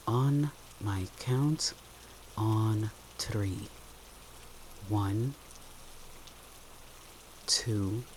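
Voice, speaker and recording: Medium-pitched voice, very slow guided meditation, gentle, soothing, long pauses, steady rain behind. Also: slight background noise. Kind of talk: guided meditation, soft instructional narration.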